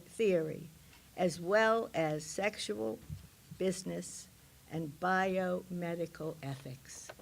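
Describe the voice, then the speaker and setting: A woman reading a formal introduction of a speaker in a measured manner. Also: on the low side, gravelly, fairly bright tone. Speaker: event introducer.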